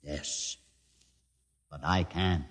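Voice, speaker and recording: An actor playing a solemn mutant leader with a twisted leg, speaking gravely and deliberately in a 1950s radio drama. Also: male voice, very deep voice, steady tone, exaggerated voice. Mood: earnest. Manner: slow, grave, dramatic storytelling. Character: aged mutant leader, lame, solemn and wise. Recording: old radio broadcast with long reverb.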